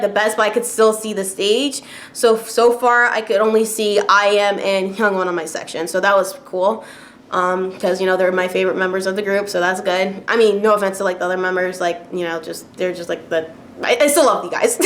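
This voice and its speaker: A woman's voice: mid-range voice, a bit bright, slight background noise. Chatty woman ranting with lots of fillers. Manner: chatty, frequent fillers and laughs, rising exasperation. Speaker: vlogger. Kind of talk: casual rant to camera.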